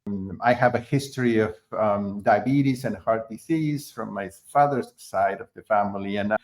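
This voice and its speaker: A middle-aged man from Argentina, a concert pianist being interviewed, who talks in a halting way with many fillers and restarts. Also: lowish voice, a little mellow. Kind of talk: conversational interview.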